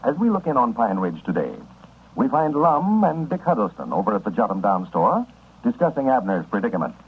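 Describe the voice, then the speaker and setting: A man's voice: fairly low pitch, sing-song, brisk, breathy edge. An American man as a 1930s radio announcer, delivering scripted advertising and story introductions in an emphatic, folksy sales style. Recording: dull, noisy 1930s radio recording.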